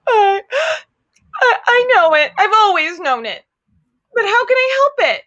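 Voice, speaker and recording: Woman as a big cowardly lion, wildly swooping high voice. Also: very animated, a bit bright, dull audio, full character voice. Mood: wailing, ashamed. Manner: whimpering, wailing, drawn-out delivery. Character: big cowardly lion, sheepish and self-pitying. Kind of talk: table read of an audio drama.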